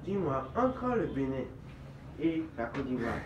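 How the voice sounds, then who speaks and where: A man's voice: medium pitch, sing-song, unhurried, recorded from a distance, over music. A man working as an interviewer, asking short prepared questions. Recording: echoey, muffled, off-mic, noisy.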